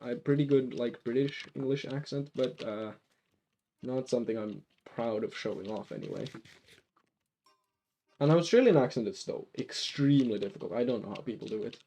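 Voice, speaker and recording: Finnish man, mellow rambling streamer. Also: medium pitch, normal speed. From Finland. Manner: rambling, lots of restarts and fillers. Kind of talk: casual live-stream chat while gaming.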